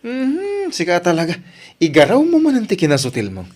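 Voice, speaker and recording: A man's voice: high voice, huge pitch swings, a little fast, darkish tone, full character voice. A man playing a mischievous, coaxing young suitor in an Ilocano audio drama, with an Ilocano Filipino accent and a bantering manner. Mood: teasing protest.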